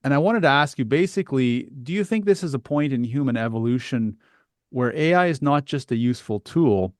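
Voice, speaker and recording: A middle-aged man hosting a conversational podcast interview, thinking aloud in a halting way with restarts. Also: mid-range voice, no echo, clean studio sound. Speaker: podcast host.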